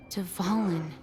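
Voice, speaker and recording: Dignified young knight commander. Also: female voice, fairly low pitch, darkish tone. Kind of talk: anime game voice acting.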